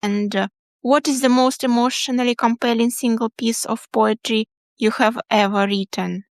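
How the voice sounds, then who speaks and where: A woman's voice: a little high, fairly even intonation, normal speed, no room sound, clean studio sound. A woman hosting a podcast interview, asking questions in a friendly, encouraging way and often repeating her words. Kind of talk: casual interview.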